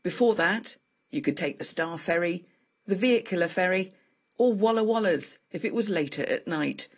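A woman's voice: on the low side, moderate pace. A woman presenting a radio programme and interviewing people in a conversational style.

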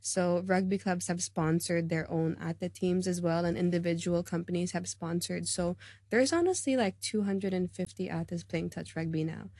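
A young woman talking in a relaxed, chatty way, full of "like" and "um". Her voice sits a little low for a woman. The recording is dry and close, no room sound. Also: moderate pace, no echo. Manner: chatty, upbeat, filler-heavy. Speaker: rugby player and coach, guest. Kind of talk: casual interview chat.

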